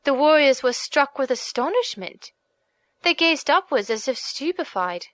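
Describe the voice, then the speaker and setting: A woman reading scripted audiobook narration in an expressive, formal storytelling manner. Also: high voice, measured pace, dry recording.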